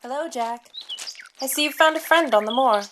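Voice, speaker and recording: Guarded, earnest sister , female actor, audio drama: higher pitch, formal, composed urgency; noisy track with birds. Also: normal speed, fairly bright tone. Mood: polite. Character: earnest, guarded naturalist's sister warning of danger.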